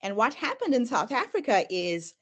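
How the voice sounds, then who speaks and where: A middle-aged woman with a Zimbabwean accent blended with American sounds, a native Shona speaker. She presents in an earnest, storytelling manner, her pitch rising and falling expressively as she moves through her slides. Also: wildly swooping pitch, normal speed, fairly bright tone. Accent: Zimbabwean, mixed with American. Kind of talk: presentation talk.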